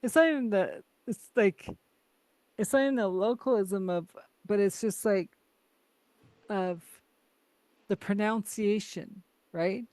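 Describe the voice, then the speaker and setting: A woman hosting a casual podcast chat, quick to laugh and full of filler words. Her pitch sits in a middle range. The sound is dry and close, with no room echo. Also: dark tone. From Canada. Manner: chatty, laughing often, lots of "like". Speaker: podcast host.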